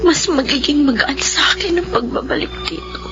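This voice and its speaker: A woman's voice: sits high, big pitch swings, muffled recording. A Filipino woman acting in a radio drama as an emotional youngest adult child devoted to a forgetful mother. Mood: regretful, emotional. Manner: emotional, drawn-out, pleading delivery. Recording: band-limited radio drama audio under loud music.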